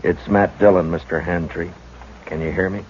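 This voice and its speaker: A stern frontier marshal, played by a man, with a very deep voice that stays calm, measured and in command. He gives orders plainly and without fuss. Heard through a muffled old radio recording with light hiss. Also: lightly acted. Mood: steady. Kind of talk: 1950s radio drama acting and narration.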